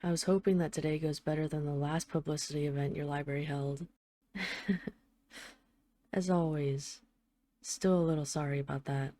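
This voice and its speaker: Woman playing a female mafia boss, lowish mellow voice, affectionate, wry, self-deprecating, intimate audio roleplay voicemail. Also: steady tone, normal speed, pauses often, no echo, lightly acted. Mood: tender, sheepish.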